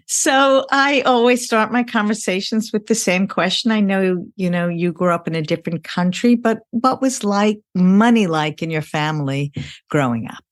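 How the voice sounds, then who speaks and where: A woman's voice: mid-range voice, normal speed. A woman hosting an interview podcast, friendly and encouraging toward her guest. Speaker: podcast host, financial planner.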